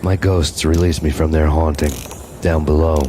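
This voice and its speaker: An actor playing a world-weary, hard-boiled cop who narrates a noir game in a grave, deliberate way. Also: male voice, very deep voice, little pitch movement, background noise. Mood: grim, weary. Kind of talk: film noir scripted narration. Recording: music behind the voice.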